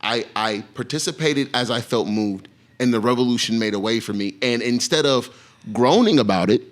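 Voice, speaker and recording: A man's voice: mid-range voice, moderate pace. A man who is a guest in a casual chat, speaking in a preachy way and building to emphatic points.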